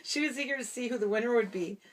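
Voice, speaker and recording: A woman's voice: fairly high pitch, fast. A woman reading a storybook aloud to a small child, with casual asides between the lines. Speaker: parent reading a storybook to a toddler.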